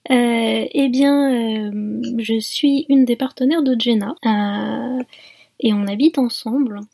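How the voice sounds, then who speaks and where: A woman in her thirties, a podcast guest talking casually from home in a relaxed, wry way. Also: a little high, takes it slowly, gravelly, no room sound. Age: 30s. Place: at home during lockdown.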